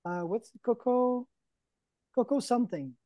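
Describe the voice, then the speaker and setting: A man's voice: extremely high pitch, animated, moderate pace, mellow, no room sound. A man in his thirties or forties co-hosting an online event with jokey, mock-earnest banter. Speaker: event co-host. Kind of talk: casual playful banter. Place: indoors in front of a green backdrop, on a video call.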